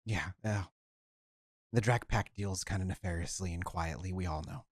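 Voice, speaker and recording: A man's voice: low-pitched, no echo, clean studio sound, pitched below the performer's own voice. A man voicing a nervous, eager young male vampire who stammers and repeats himself. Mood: anxious. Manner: halting, stammering, repeats words.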